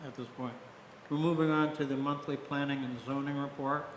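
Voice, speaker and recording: Brisk man chairing an echoey meeting. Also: medium pitch, little pitch movement. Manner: brisk, procedural. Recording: echoey hall with hiss.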